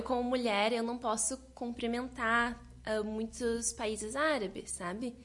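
A young woman from southern Brazil, answering interview questions in a lively way and laughing often. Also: mid-range voice. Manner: lively, laughs often, quotes others' reactions. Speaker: guest.

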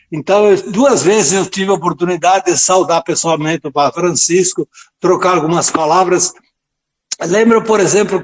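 Man in his late 70s, southern Brazilian accent, high voice, priest, halting devout storytelling, slightly muffled recording. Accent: southern Brazilian, Rio Grande do Sul. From rural southern Brazil. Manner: halting, repeats words, devout and earnest. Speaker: priest and friar, interview guest. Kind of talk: reflective personal storytelling in a radio interview.

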